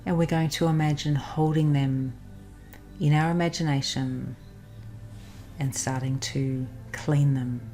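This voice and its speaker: A woman narrating a guided meditation in a soothing, instructional manner with pauses. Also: low-pitched, steady tone. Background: soft background music.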